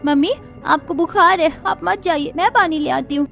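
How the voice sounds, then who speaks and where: A woman puts on an innocent little girl for a cartoon. The voice is very high, childlike and earnest, with music behind it. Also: normal speed, creaky, a bit bright. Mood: caring. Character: little girl, innocent and fearful, with her friend. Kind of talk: cartoon story voice acting. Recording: background music under voice.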